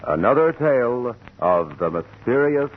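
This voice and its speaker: An American man reads as a 1940s radio announcer, in a scripted, formal broadcast style. His voice sits at a medium pitch. The recording is thin and tinny with faint noise. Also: big pitch swings, a little slow.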